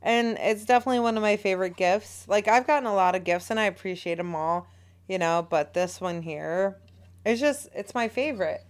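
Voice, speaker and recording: A woman in her thirties co-hosting a casual podcast, chatty, teasing and animated. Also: mid-range voice, brisk. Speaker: podcast co-host. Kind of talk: casual chat.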